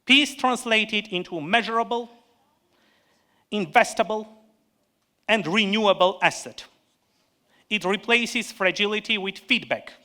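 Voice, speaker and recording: Man with an Armenian accent, economist giving a panel speech. Higher pitch, bright tone, earnest and measured, restarts the odd word. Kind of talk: prepared conference speech.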